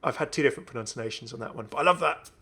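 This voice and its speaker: A man's voice: mid-range voice, racing, pushed and bright, slightly rough. A man hosting a gaming video, commenting casually and enthusiastically as he thinks aloud. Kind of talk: casual game update commentary.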